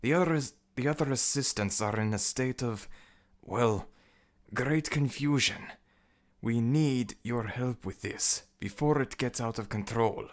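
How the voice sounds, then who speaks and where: A man's voice: medium pitch, a little slow, voice pushed up, close to an everyday voice. A man voicing a deferential, anxious servant of a god in a scripted audio roleplay, speaking formally and earnestly. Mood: anxious, pleading.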